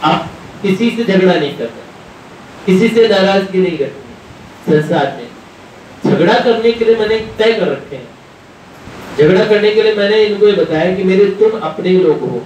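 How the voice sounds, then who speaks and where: A man's voice: lively intonation, moderate pace, long pauses, a little mellow. A man giving an informal devotional discourse as a spiritual teacher, telling anecdotes in a conversational, rambling way and often quoting dialogue. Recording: very echoey room, distant mic, rumbling noise.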